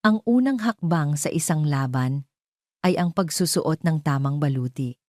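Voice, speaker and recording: A calm, professional Filipino woman mentor, acted out by a female storyteller in an audio drama. She instructs firmly and evenly. Her voice is low and dark, recorded dry. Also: normal speed, dry recording. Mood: firm, instructing.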